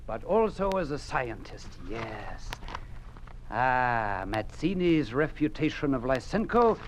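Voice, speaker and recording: An actor in a 1950s radio drama playing a smug, pompous director of a science institute with a silkily threatening manner. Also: male voice, fairly high pitch. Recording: noisy old 1950s radio recording.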